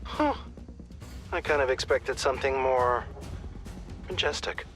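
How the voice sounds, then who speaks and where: A wry male mission handler from a video game, voiced by a man. His voice is bright and forward, and he talks the team through each mission in a casual, joking tone. Light music plays underneath. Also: medium pitch, unhurried, close to an everyday voice. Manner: wry, conversational.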